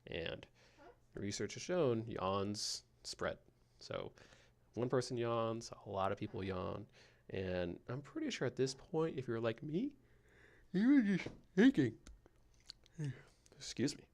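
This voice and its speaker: A man in his twenties with a soothing voice, hosting a sleep podcast in a slow, meandering monologue with frequent fillers. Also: medium pitch, big pitch swings, creaky, a little mellow. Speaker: podcast host.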